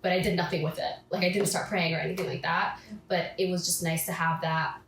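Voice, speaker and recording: A woman's voice: on the low side, level tone, quick pace, a bit bright. A woman in her twenties, a podcast guest, telling her personal story in a casual, chatty way and acting out things she once said to herself. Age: 20s. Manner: chatty, acts out her own past self-talk. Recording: echoey room with faint background hiss.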